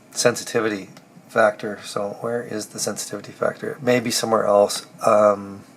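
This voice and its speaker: Hoarse guy w a cold, low monotone, stop-start explaining his car setup. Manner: halting, thinking aloud with many pauses. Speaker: car builder explaining engine tuning. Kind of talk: casual technical walkthrough.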